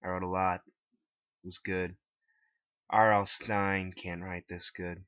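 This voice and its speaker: Deep-voiced man narrating, bright and forceful. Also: little pitch movement, slow, smooth, no room sound. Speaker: author reading his own story. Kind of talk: scripted dramatic narration. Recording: dull lo-fi, dry close recording.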